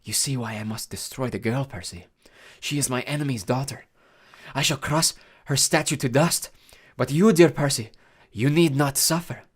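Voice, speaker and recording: A man's voice: on the high side, moderate pace, pitched above the performer's own voice, close to an everyday voice. A man voicing an old female monster in a storybook, coaxing and crooning with a menacing edge that can turn to a snarl. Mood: coaxing, bitter.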